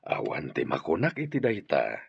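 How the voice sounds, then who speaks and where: A man acting a solemn, slow-speaking older boss in a radio drama. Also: very deep voice, huge pitch swings, gravelly, slightly rough, no room sound, exaggerated voice. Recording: muffled, dry radio drama recording.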